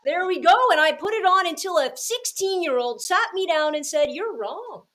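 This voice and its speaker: High-pitched woman podcast host. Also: lively intonation, normal speed, a bit bright. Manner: earnest, emphatic, affirming. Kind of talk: casual reflective conversation.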